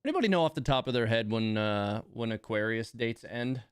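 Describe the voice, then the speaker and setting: A man's voice: mid-range voice, expressive, brisk, clear, resonant tone, no room sound, clean studio sound. A man giving casual live stream commentary on a game in a rambling, amused, conversational way.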